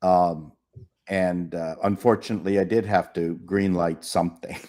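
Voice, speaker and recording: A man's voice: sits low, steady tone, moderate pace, a little mellow. A former television comedy executive, a man, chatting on a panel and often stopping to search for words. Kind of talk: casual panel chat.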